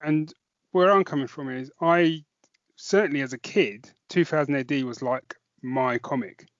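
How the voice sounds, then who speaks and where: A man's voice: on the high side, normal speed, no echo. Dull-sounding, dry British man chatting casually. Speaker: podcast co-host and reviewer. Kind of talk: casual chat podcast review.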